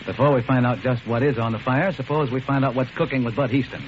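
A man's voice: medium pitch, fast talker. A man hosting a scripted 1940s radio show in a jaunty, folksy, slangy announcing style. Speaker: program host and announcer. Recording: muffled, noisy old radio broadcast.

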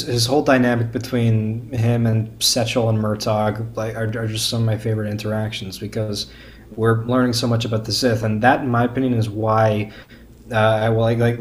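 Lowish guy guesting on a podcast, keen and casual, repeats words a lot, bit of background noise. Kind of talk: casual enthusiastic discussion.

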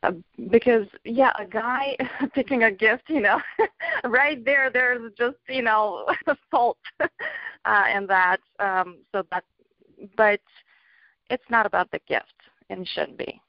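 A middle-aged woman from Bulgaria, a children's author being interviewed, talking in a hesitant way with many ums and soft laughs. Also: on the high side, slow pace, dry recording. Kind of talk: casual interview talk. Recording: dull lo-fi audio.

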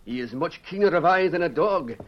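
An actor playing a kindly old Scottish falconer with a thick accent, in 1940s radio acting style. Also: male voice, a little high, animated, brisk, natural voice. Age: older. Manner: hearty, burring, fatherly. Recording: dull old radio recording with faint hiss.

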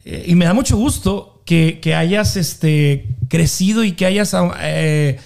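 A man hosting a podcast, chatting casually and warmly with slang and many fillers. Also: a little high, slow, creaky. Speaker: podcast host. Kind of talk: casual interview chat.

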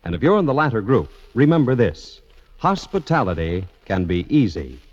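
A man's voice: mid-range voice, big pitch swings, moderate pace. An American man delivering scripted lines as an announcer in the style of 1950s radio. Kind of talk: 1950s radio announcing, scripted sponsor pitch and song introductions. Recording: dull vintage radio recording, faint music.

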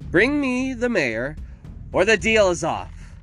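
Man playing a high, screechy, sickly villainess. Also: sing-song, slow pace, faint music behind. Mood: furious, screeching. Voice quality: screechy, hacking, coughing. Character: wicked, theatrical, sickly young villainess with a shrieking temper.

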